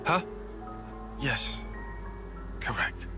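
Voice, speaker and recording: A man's voice: extremely slow, music in the background. A man voicing an earnest, prickly young military strategist in a video game. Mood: flustered. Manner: stammering when flustered.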